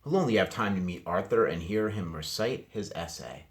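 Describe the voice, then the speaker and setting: Man reading as reassuring, deep-voiced storybook father. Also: expressive, moderate pace. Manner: reassuring, practical.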